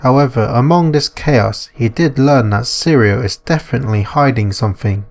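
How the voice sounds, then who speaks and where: A man's voice: medium pitch, normal speed, creaky. A man reading scripted narration for a visual novel as its narrator. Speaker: narrator and author. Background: music.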